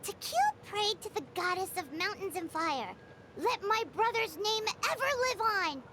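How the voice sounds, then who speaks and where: A woman voicing a tiny, excitable female floating companion in a video game who talks about herself in the third person. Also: extremely high pitch, moderate pace, very noisy. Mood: excited.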